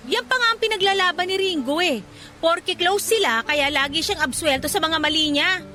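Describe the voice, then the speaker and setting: A frustrated working woman in a radio drama, her voice high and bright. She complains emphatically with wounded pride, over faint music. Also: moderate pace. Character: frustrated, principled female office worker, wounded pride. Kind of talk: Filipino radio drama dialogue.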